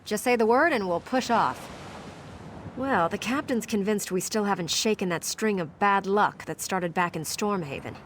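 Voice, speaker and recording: A woman's voice: medium pitch, moderate pace, a little hiss or hum, close to an everyday voice. A woman voicing a brash, sarcastic sailor in a video game, with a wry, informal manner. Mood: dismissive, exasperated. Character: brash, sarcastic female sailor on a ship's crew. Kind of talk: video game character dialogue.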